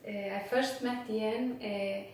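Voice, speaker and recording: A woman from Iceland in her forties, English, talks through her answers unhurriedly, pausing often on fillers. Her voice sits a little high and comes from across the room. The small office gives it a strong, roomy echo. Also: fairly even intonation, a little mellow, a little hiss or hum. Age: 40s. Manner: unhurried, many filler pauses, non-native phrasing. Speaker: interview guest, former board member. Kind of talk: casual interview answers. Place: small office with posters and plants. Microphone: camera mic from across the room. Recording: echoey room from a distant mic.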